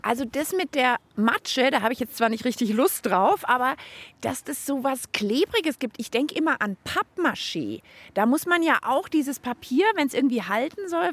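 A woman's voice: high-pitched, slightly rough, no room sound. A woman hosting a children's radio show outdoors in a park, chatting casually in a cheerful, wry way and thinking aloud. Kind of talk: casual on-location radio chat.

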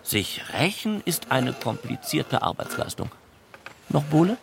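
An actor playing a cynical SS camp commandant in a radio drama, coolly dismissive. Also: male voice, on the high side, very animated, a little slow, gravelly, background noise, exaggerated voice. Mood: dismissive, musing. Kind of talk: radio play dialogue and dry document reading.

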